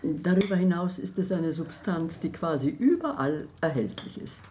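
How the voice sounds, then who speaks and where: A woman's voice: lowish voice, expressive, normal speed, mellow, a little hiss or hum, lightly acted. An actress in a German radio drama playing a worldly, assured woman crime novelist and hostess. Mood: amused, knowing.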